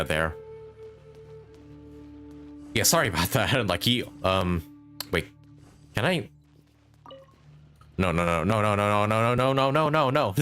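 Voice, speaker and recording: A man's voice: fairly low pitch, a bit bright. A man live-streaming a video game with casual commentary, thinking aloud and chatting with his viewers.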